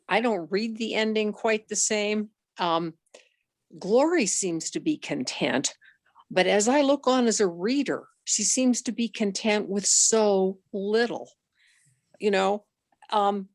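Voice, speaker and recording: A woman giving her reading of a book in a group discussion, tentative and halting. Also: medium pitch, no room sound, studio quality. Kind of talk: reflective opinion in group discussion.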